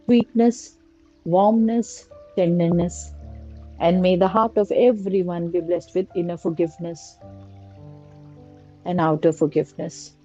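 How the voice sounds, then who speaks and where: A woman slowly guiding a prayer and meditation in a soft, repetitive litany of blessings. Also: on the low side, steady tone. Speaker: meditation guide. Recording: dull lo-fi recording with faint music. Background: faint music.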